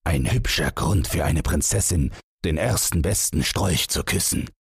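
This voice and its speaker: Man voicing an imperious, husky, low-voiced king. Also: measured pace, no room sound, clean studio sound, exaggerated voice. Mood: outraged. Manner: imperious, scornful. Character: indignant, blustering old king, stern father. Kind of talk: fairy tale audiobook character voice.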